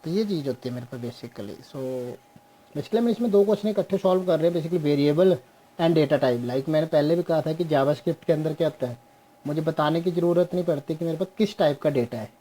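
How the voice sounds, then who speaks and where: A young man teaching a programming class on screen, slowly walking through code step by step and mixing Hindi with English. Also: on the high side, mellow, low-quality recording. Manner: slow, explains step by step, mixes Hindi and English. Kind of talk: screen-share coding lesson.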